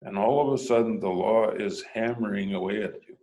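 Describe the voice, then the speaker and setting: A man's voice: fairly low pitch, brisk, warm tone, poor audio. A man giving a reflective sermon, often prompting listeners with a questioning "hmm?".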